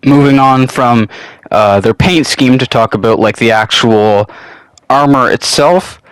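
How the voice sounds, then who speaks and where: A man's voice: mid-range voice, unhurried, smooth, no echo, rough recording. A young man with a cold, sniffling a little, hosting his channel and talking casually through a loosely scripted hobby topic, rambling and swearing now and then. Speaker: channel host.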